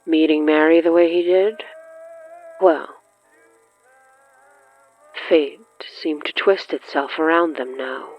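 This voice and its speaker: A narrator reading scripted dramatic horror fiction in a measured, deliberate way with dramatic pauses. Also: medium pitch, fairly even intonation, lo-fi sound. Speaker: narrator of an audio fiction podcast. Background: faint music.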